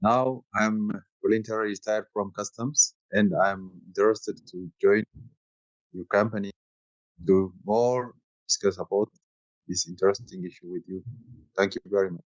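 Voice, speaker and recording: A man's voice: lowish voice, fairly even intonation, moderate pace, no room sound. A man, a retired customs officer, introducing himself politely and formally on a video call. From Ethiopia. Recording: muffled video-call audio.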